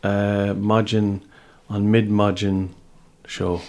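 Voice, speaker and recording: Irish man, lowish and halting. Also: little pitch movement, crowd noise. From Ireland. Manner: tentative, halting, echoing words as a language learner. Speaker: podcast co-host. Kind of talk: casual bilingual chat.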